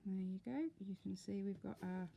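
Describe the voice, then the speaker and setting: A woman's voice: mid-range voice, moderate pace, gravelly, dark tone, a little hiss or hum. A woman giving a casual, step-by-step craft tutorial, talking informally and unhurriedly as she works.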